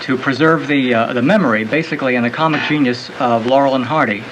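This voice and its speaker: A man's voice: medium pitch, fast talker, highs cut off. A man speaking as a club leader in a radio interview, explaining things politely. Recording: noisy home cassette of radio broadcast.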